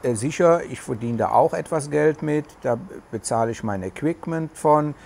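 A man's voice: on the high side, normal speed, creaky, a little mellow. A middle-aged man with a regional German dialect, chatting casually to camera with many hesitations, recorded outdoors on a terrace with a lapel mic. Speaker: vlogger. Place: covered terrace outdoors in the tropics.

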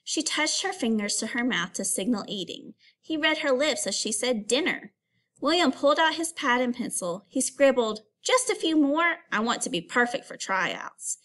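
Higher-pitched woman teacher reading to children. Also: moderate pace. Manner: expressive storytelling, instructive, addresses pupils with questions. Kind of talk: read-aloud lesson for children.

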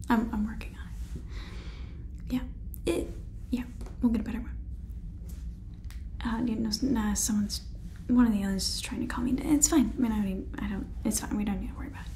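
A scheming female boss from an ASMR comedy , played by a young woman, chatting in a mellow, fairly high, whispery voice. She stammers through her sales talk, with faint noise behind her. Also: close to an everyday voice. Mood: flustered. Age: young adult. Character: brisk, scheming alien-farm businesswoman boss. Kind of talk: ASMR roleplay sitcom, whispered and softly spoken.

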